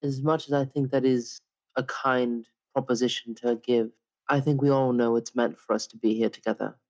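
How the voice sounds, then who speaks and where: A man's voice: medium pitch, fairly even intonation, normal speed. A man playing on a tabletop role-play podcast, moving between casual table talk and improvised character speech.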